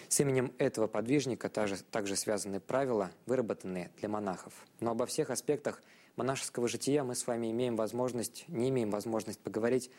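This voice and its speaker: Low-voiced man presenting in a measured, formal way. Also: darkish tone. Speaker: presenter of a religious TV programme. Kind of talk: scripted educational narration to viewers.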